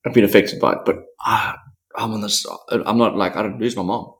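A man talks in a fairly low voice, telling stories in an animated, rambling way. He speaks candidly as a podcast guest and acts out quotes as he goes. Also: brisk. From South Africa. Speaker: podcast guest, professional surfer. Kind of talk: candid interview conversation.

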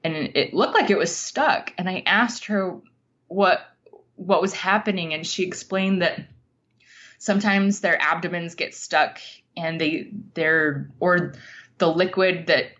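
A woman with a slightly low voice talks slowly and thoughtfully in an interview. Her phrasing halts as she earnestly works through stories and reflections. The sound is a bit dull and muffled. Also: a bit bright. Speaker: podcast guest, writer. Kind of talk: reflective interview conversation, storytelling.

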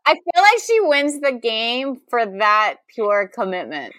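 A woman banters casually as a comedy podcast co-host. Her voice is bright and a little high, and she speaks unhurriedly in incredulous exclamations, often repeating words. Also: huge pitch swings, a little slow. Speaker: podcast co-host guessing song titles.

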